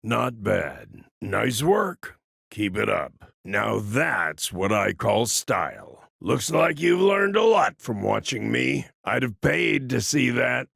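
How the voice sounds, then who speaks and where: An actor playing a cocky, folksy old cyborg gunslinger in a video game, with a gruff, gravelly voice and a wry drawl. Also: male voice, mid-range voice, sing-song, slow, a bit bright, no echo, clean studio sound, full character voice. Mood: approving. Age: older. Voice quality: gravelly, raspy. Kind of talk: video game character voice lines.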